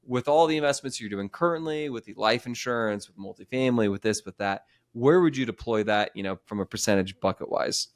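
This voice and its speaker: Fast-talking man in his thirties. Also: mid-range voice. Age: 30s. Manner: quick, rambling and animated, with many restarts. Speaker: podcast host. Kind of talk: casual interview chat.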